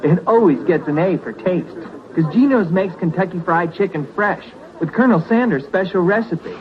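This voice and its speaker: A man's voice: sits high, normal speed, muffled recording, a faint crowd, natural voice. A man playing a keen student in a 1970s radio commercial on an old, slightly noisy broadcast. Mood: upbeat pitch. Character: keen student plugging a takeout meal.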